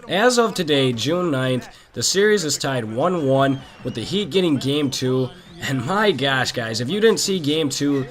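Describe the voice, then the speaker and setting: A hoarse man from Minnesota, hosting a gaming channel and chatting casually about basketball over gameplay, opinionated and talking straight to his viewers. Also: mid-range voice. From Minnesota, USA.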